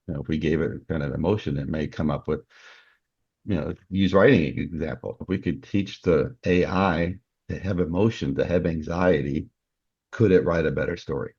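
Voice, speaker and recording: A man with a gravelly, husky voice talking ideas through speculatively as an interview guest. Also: a bass voice, normal speed, darkish tone, dry recording. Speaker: guest, novelist. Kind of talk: conversational interview discussion. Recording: dull, muffled dry recording.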